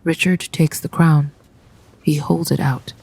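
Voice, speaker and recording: Dark-toned, slow radio drama narrator. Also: mid-range voice, fairly even intonation, creaky, breathy edge. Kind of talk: hushed scripted stage-action narration.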